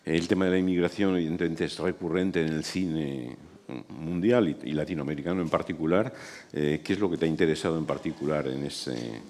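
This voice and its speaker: A man hosting a festival press breakfast, introducing guests and asking measured, formal questions. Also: very deep voice, a little mellow. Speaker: festival interviewer.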